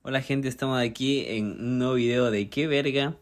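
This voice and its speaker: A man's voice: medium pitch, steady tone, moderate pace. A man in his twenties from Ecuador, hosting a podcast and giving an informative explainer with frequent hesitations and fillers. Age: 20s. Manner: hesitant, many fillers and restarts. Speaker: podcast host.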